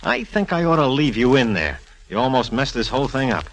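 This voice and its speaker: A man's voice: medium pitch, big pitch swings, fairly quick, low-quality recording. An actor playing an exasperated, teasing male police lieutenant with slangy, sardonic talk in an old radio drama. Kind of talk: 1940s radio drama acting. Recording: vintage radio recording.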